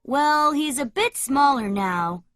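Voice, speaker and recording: A woman voicing a bold, confident boy adventurer who leads other children in an animated children's story, speaking in a commanding, dramatic way. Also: sits high, takes it slowly, dry recording, studio quality, soft background music, exaggerated voice. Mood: grave, boastful.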